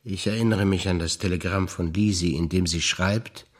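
An older man from Bavaria speaks remembered moments on stage in a low voice. His delivery is calm, understated and matter-of-fact. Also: normal speed. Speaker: stage actor. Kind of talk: scripted stage recitation of memories.

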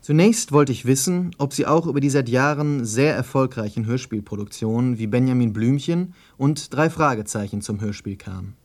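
A man's voice: medium pitch, normal speed, hardly any pauses, a little mellow. A man narrating and asking prepared questions as a radio interviewer.

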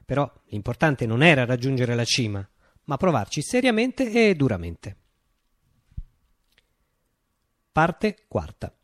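Actor as a theatrical, sweepingly expressive male revolutionary. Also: medium pitch, moderate pace, no echo, studio quality, natural voice. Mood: impassioned. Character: visionary revolutionary man recounting a utopian upheaval. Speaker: radio theatre actor performing a monologue. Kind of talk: theatrical monologue, radio drama storytelling.

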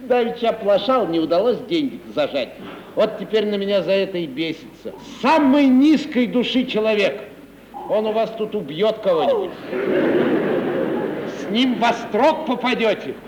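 An actor playing a cowardly, grumbling, down-at-heel provincial comedian with a peevish, theatrical manner in a Russian radio play. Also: male voice, sits high, sing-song, normal speed, darkish tone. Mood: spiteful, complaining. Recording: dull, noisy, echoey 1950s radio recording.

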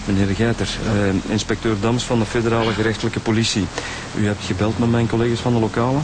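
A man playing a patient, methodical police inspector in a naturalistic radio drama, with a Flemish accent and measured, informal questioning. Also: on the low side, level tone, noisy background, close to an everyday voice. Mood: calm, probing. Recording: music behind the voice.

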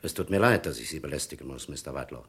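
A man's voice: rumbling low, lively intonation, rapid-fire, a bit bright, heavily acted. A man playing a dry, insistent police captain in a German crime radio play, questioning people formally and pointedly. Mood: formal, probing.

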